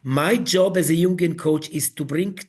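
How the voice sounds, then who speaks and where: A man leading an online coaching webinar, speaking in slow, halting phrases. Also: on the high side, breathy edge. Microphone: video call.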